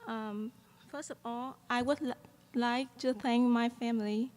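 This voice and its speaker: A woman's voice: fairly high pitch, fairly even intonation, unhurried, a little mellow. A young woman from Vietnam with a Vietnamese accent, giving an earnest graduation speech at a podium mic with many "um" fillers. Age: young adult. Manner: earnest, with frequent "um" fillers and light laughs. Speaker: student speaker at a graduation. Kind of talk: commencement speech. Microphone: podium microphone. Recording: long hall reverb.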